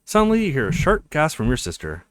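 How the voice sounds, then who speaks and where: A man's voice: a little high, wildly swooping pitch, fast talker, fairly bright tone, no echo. A man hosting a let's play, talking to viewers and reading visual novel text aloud as narration. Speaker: let's play video host.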